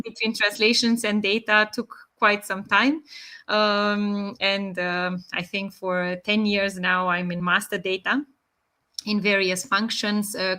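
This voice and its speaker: A woman in her thirties with a Romanian accent, answering interview questions in a measured way with many pauses and fillers. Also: mid-range voice, sharp tone. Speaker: guest. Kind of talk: interview answers, online live session.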